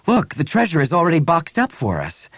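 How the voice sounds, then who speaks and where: A man's voice: mid-range voice, a little fast, no echo, lightly acted. A man voicing a determined rebel woman in an audiobook, speaking in an earnest, insistent way. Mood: excited.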